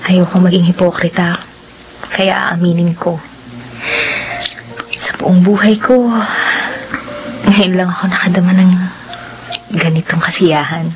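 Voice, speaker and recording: A woman's voice: on the low side, flat delivery, unhurried, long pauses, dull audio, natural voice. An actress playing a conflicted young woman in love who resists, emotional and reproving, in a romantic radio drama. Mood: wistful, confessing. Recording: loud music under the voice.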